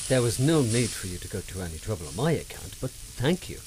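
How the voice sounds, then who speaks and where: An actor playing a polite, eager young university visitor in a radio drama. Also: male voice, on the low side, very animated, a little fast, darkish tone, slight background noise, low-quality recording. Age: young adult. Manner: courteous, earnest.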